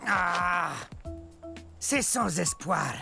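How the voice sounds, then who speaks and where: A gentle, bashful giant of a cartoon dinosaur, drawling slowly in a gravelly voice. He sounds sheepish and good-natured, with clear music playing behind. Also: sits high, lively intonation, full character voice. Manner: slow, sheepish, whispery asides. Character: gigantic shy gentle male plant-eating dinosaur.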